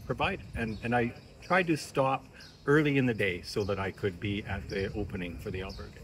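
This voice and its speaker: Older Canadian man, 60s, relaxed hesitant interviewee, lapel mic outdoors, faint noise. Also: lowish voice, fairly quick. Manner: easygoing, hesitant with many fillers. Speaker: interviewed pilgrim. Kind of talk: casual street interview. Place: outdoors by a shopfront awning.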